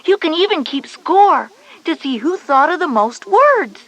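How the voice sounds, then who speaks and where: A woman's voice: very high voice, big pitch swings, measured pace, a bit bright, full character voice. A woman voicing a confident schoolgirl big sister, instructive and encouraging, in a children's educational audio drama. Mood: explaining.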